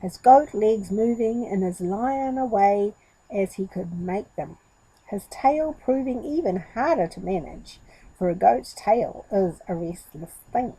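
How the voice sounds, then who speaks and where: A woman storyteller giving an expressive narration of a story for children. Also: mid-range voice, a little slow, husky, dry recording, low-quality recording.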